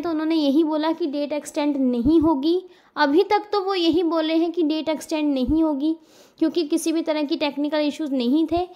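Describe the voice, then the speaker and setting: An Indian woman giving students an informal explanatory update as a coaching educator, in an earnest, emphatic manner that repeats points for clarity. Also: sits high.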